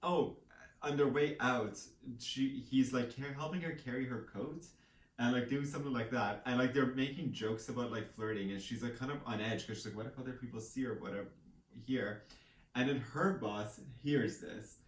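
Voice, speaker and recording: A man's voice: medium pitch, moderate pace. A man co-hosting a casual book chat, retelling a story in a loose, rambling way with bits of quoted dialogue. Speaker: co-host of a book discussion show. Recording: echoey room, distant microphone.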